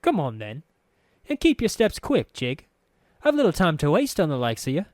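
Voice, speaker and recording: A man putting on a sharp-tongued sneering young woman. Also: low voice, wildly swooping pitch, moderate pace, no room sound, clean studio sound, voice pushed up. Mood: scornful. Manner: snappish, scornful.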